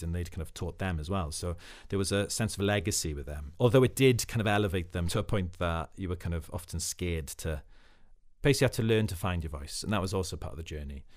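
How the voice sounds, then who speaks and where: Welsh actor guest, very deep voice, thoughtful and unhurried, young adult. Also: male voice, darkish tone. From Wales. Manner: thoughtful, reflective, with frequent fillers. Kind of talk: casual interview.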